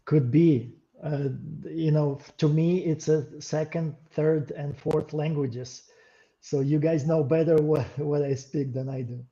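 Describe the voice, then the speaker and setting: Hesitant man with a dark muffled voice. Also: a little high, fairly even intonation. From Western Ukraine. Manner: hesitant, halting, many fillers and restarts. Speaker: co-host. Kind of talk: casual livestream chat.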